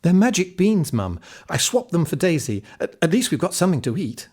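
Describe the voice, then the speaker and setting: A man's voice: fairly high pitch, very animated, fairly quick, a little mellow, breathy edge, pitched above the performer's own voice. A man voicing an eager, earnest country boy in a fairy tale. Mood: defensive, eager. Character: eager, cheeky poor country boy Jack.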